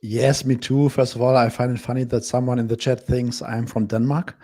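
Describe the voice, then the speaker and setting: A man analyzing football bets in a casual discussion, speaking in a measured way with hesitant fillers. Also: mid-range voice, flat delivery, warm tone. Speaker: betting analyst. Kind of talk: casual sports betting discussion.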